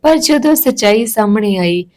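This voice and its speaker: A woman's voice: fairly high pitch, expressive, normal speed, a little mellow. A woman reading a scripted emotional story as a storyteller.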